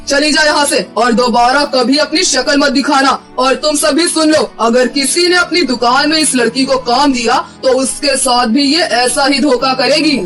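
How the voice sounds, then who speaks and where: A man's voice: very high-pitched, fairly bright tone. A man playing a betrayed, unforgiving shop owner in a cartoon story, accusing and declamatory. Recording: distant voice over faint music.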